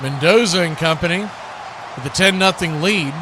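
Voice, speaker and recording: A man's voice: fairly high pitch, moderate pace. A man doing live play-by-play commentary of an American football game as the announcer. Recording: loud background noise.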